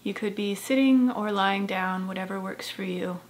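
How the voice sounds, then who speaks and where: A woman therapist leading a guided meditation in a gentle, soft-spoken, unhurried way with long pauses. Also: medium pitch.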